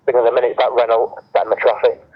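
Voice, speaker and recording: A man's voice: mid-range voice, level tone, racing, slightly rough, no room sound. A man with a British accent talking casually over a two-way radio as he directs traffic at road works. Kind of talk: casual work radio chatter. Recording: muffled, band-limited two-way radio transmission.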